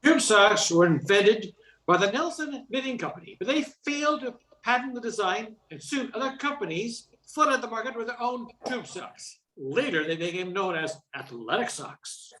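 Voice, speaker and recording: A woman's voice: a little high, animated, moderate pace, a bit bright. A woman as a know-it-all detective in echoey room. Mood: pedantic. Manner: lecturing, matter-of-fact. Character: pedantic know-it-all female detective reciting trivia. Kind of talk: radio play acting.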